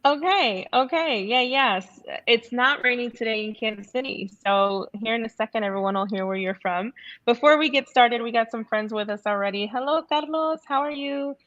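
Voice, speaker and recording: A woman's voice: a little high, normal speed, fairly bright tone. A woman hosting a livestream interview in an upbeat way, over a dull-sounding online call. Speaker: livestream show host. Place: remote video call.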